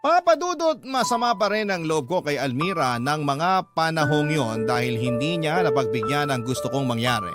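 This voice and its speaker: A lovelorn boyfriend acted by a man tells his story in an earnest, reflective way. His voice sits a little high for a man, over very prominent music, as in radio drama narration. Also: lively intonation, moderate pace, hardly any pauses, natural voice. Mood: resentful. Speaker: letter-sender narrating his love story. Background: loud music.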